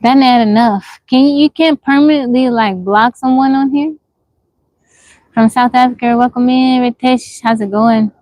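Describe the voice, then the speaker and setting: A woman's voice: a little high, moderate pace, darkish tone, poor audio. A woman in her forties hosting a casual livestream from the woods, reading viewer comments and answering frankly. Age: 40s. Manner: frank, questioning, repeats herself. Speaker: livestream host. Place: outdoors in the woods.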